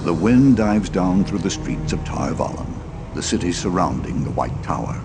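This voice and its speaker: A man's voice: low voice, big pitch swings, normal speed, very noisy. A man playing a solemn storyteller, narrating an epic fantasy legend in a portentous, dramatic way for a video game. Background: loud music.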